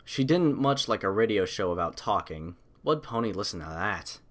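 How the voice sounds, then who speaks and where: A man's voice: on the low side, animated, normal speed. A man reading a scripted story aloud as an audiobook narrator.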